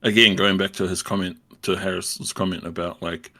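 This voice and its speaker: A young man with a low-pitched yet bright, forceful voice, chatting loosely on a podcast. He rambles and laughs easily. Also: flat delivery, moderate pace. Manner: laid-back, rambling, laughs often. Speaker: podcast co-host. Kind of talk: casual chat.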